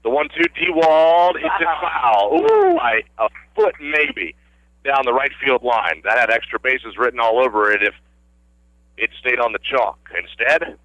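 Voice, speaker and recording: Bright-voiced man calling baseball play-by-play. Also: mid-range voice, sing-song, a little slow, dry recording, dull audio. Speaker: radio play-by-play announcer. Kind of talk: live baseball play-by-play commentary. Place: outdoor ballfield broadcast position.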